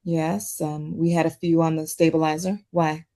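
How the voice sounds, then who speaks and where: A woman playing a concerned, guarded psychiatrist with a measured professional manner at a drama table read. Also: sits low, level tone, warm tone. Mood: guarded, defensive. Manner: measured, professional, hesitant at times.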